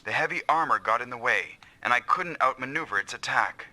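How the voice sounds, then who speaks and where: Forceful, breezy big squad mate. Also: male voice, mid-range voice, expressive, brisk. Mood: rueful. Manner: breezy, assured. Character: big, nimble, self-confident male backup squad mate. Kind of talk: anime-style video game dub acting.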